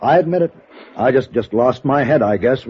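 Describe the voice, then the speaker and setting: An actor playing a vain, self-pitying comedy star in a 1950s radio drama, pompous, petulant and easily rattled. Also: male voice, medium pitch, lively intonation, moderate pace, breathy edge. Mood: rattled, pleading. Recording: dull, lo-fi 1950s radio recording.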